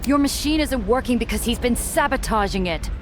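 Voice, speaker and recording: A woman's voice: a little high, lively intonation, fairly quick, a little hiss or hum, lightly acted. A woman playing a tough, blunt, streetwise smuggler with a hard-edged delivery in a video game drama. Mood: angry accusation.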